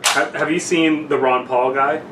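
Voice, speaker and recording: A man's voice: on the high side, steady tone, unhurried. A man telling loose anecdotes as a podcast co-host, rambling and acting out what people said. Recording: echoey, distant mic with loud noise.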